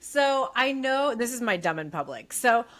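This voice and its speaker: A woman's voice: fairly high pitch, big pitch swings, normal speed, fairly bright tone. A woman co-hosting a podcast who asks long, rambling questions in a self-deprecating way. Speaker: podcast co-host. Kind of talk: casual interview chat.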